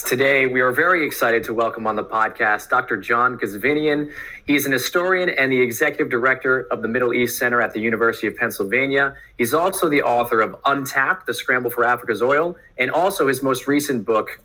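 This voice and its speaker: A man's voice: mid-range voice, brisk, sharp tone, poor audio. A man co-hosting a history podcast, introducing the guest and asking questions with some fillers. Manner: scripted intro, then loose questions with fillers. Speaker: podcast co-host.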